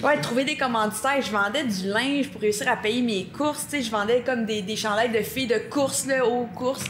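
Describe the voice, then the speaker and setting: A woman in her thirties with a Québécois French accent, telling her story as a podcast guest, lively and quick to laugh. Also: on the high side, echoey room, crowd noise. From Quebec, Canada. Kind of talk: casual chat.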